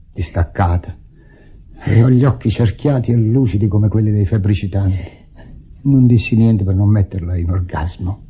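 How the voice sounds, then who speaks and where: Husky, deep-voiced, bitter betrayed husband. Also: male voice. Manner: theatrical, deliberate, heavy with sarcasm. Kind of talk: Italian radio drama acting. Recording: dull vintage radio drama recording.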